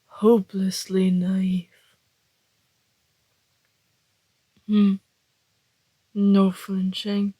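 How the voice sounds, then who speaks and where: A woman playing a suave, teasing male vampire in an ASMR roleplay, speaking in a breathy, deliberate, flirtatious half-whisper. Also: medium pitch, mellow, no room sound. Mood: predatory, fascinated.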